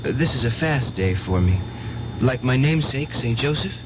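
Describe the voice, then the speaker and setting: A man's voice: medium pitch, animated, moderate pace, noisy background, lightly acted. Man playing an earnest, intense young drifter, muffled broadcast. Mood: fervent. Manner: earnest, fervent about visions and powers. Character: intense, naive, devout young orphan hitchhiker. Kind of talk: radio drama acting. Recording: muffled old radio broadcast with music.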